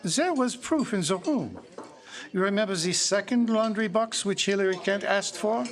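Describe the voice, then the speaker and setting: An actor playing a courtly, self-satisfied Belgian detective with a French-speaking accent, explaining precisely in a theatrical live mystery drama. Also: male voice, sits high, expressive, moderate pace, soft background music. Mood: confident deduction. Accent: French-speaking Belgian, put on. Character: Belgian detective, courtly, pompous, confident man. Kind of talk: live radio-style mystery drama performance. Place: public library live stage before an audience.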